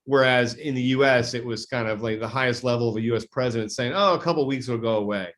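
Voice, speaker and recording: Male legislator guest, mid-pitched, deliberate, self-correcting answers. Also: fairly bright tone. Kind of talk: interview answers.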